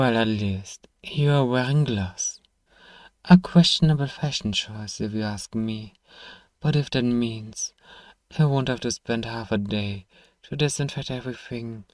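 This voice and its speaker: A man's voice: mid-range voice, a little mellow, no echo, close to an everyday voice. A man playing a haughty, sarcastic, exasperated apothecary shopkeeper in a fantasy ASMR roleplay. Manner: exasperated, condescending, sighing, dry sarcasm. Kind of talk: scripted ASMR roleplay monologue.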